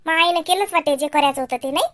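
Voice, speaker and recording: A woman voicing a sharp-tongued, nagging village wife in a comedy cartoon, scolding and taunting with a Vidarbha Marathi accent. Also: sits high, fast talker. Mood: scornful, chattering. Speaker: comic cartoon character.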